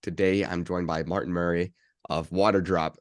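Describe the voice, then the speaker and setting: A man's voice: deep voice, level tone, moderate pace, dry recording, studio quality. A man hosting a podcast interview in a conversational style, politely putting questions to his guest.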